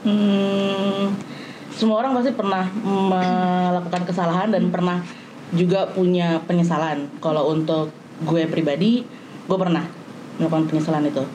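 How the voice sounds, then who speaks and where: Lowish-pitched young woman. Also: little pitch movement, moderate pace, background noise. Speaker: panel participant, creative worker. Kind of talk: casual personal talk.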